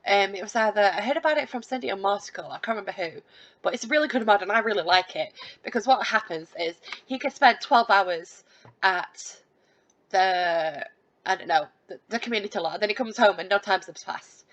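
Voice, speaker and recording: A woman talks her way through a life-sim game in a loose, chatty ramble, full of ums and restarts. She pokes fun at herself now and then. Her mid-pitched voice comes through a slightly dull, lo-fi mic. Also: a little fast. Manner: self-deprecating, lightly laughing. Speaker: let's play gamer. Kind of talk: casual gameplay commentary.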